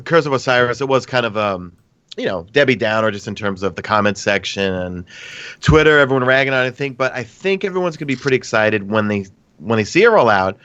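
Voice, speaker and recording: A man from New York co-hosting a gaming podcast, sounding nasal from allergies, chatty and excitable. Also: mid-range voice, quick pace, clean tone, dry recording, muffled recording. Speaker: podcast co-host, streamer. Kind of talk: casual podcast chat.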